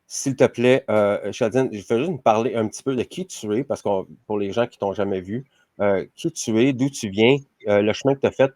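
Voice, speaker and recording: A man's voice: fairly low pitch, darkish tone, no room sound, studio quality. A man with a Québécois French accent, hosting a podcast interview, rambling with many fillers. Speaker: podcast host. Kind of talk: casual interview.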